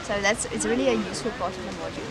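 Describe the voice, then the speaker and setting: A young South African woman with an Afrikaans-speaking background and a South African accent, talking in a relaxed, thoughtful way with frequent pauses and restarts while she is interviewed outdoors on a campus. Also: medium pitch, a loud crowd, low-quality recording. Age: young adult. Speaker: university student. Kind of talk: casual interview. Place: outdoors in a sunny campus garden.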